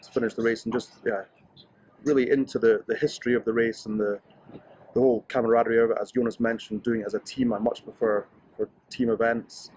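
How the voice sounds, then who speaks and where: A Scottish man in his thirties speaks in a middle-pitched, everyday voice, giving casual interview answers. He talks with enthusiasm and often restarts his sentences as he goes. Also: little pitch movement, fast, darkish tone, slightly rough. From Scotland. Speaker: interviewee, ski racer.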